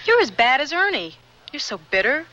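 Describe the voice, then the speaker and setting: Anxious high-voiced office woman. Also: sing-song, a little fast, pushed and bright, muffled recording, exaggerated voice. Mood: reproachful. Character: anxious, frightened lonely female office worker. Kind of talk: radio drama acting.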